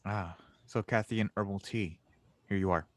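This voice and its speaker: A man playing an anxious, sardonic young genius in an audio drama table read, with halting phrasing. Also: lowish voice, steady tone, close to an everyday voice. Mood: wry, subdued. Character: anxious young male genius engineer, self-doubting and sardonic.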